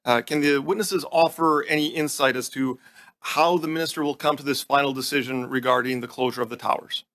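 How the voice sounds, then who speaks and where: Bright-voiced man questioning officials formally. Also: mid-range voice, fairly even intonation, a little fast. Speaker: committee member questioning officials. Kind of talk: formal questioning, partly read from notes.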